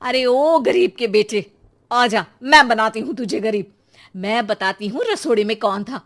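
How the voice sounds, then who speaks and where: A woman playing an exasperated, quick-tempered mother who scolds with sarcasm in a cartoon story. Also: a little high, animated. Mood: scolding. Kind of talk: Hindi cartoon story dialogue.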